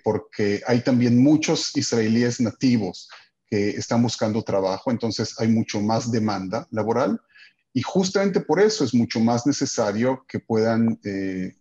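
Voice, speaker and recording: A man with a lowish voice explains the subject to an online panel in a measured way, pausing on brief fillers. His audio is dull and lo-fi, as if through a weak video-call connection. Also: a little mellow. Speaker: company director speaking as a guest.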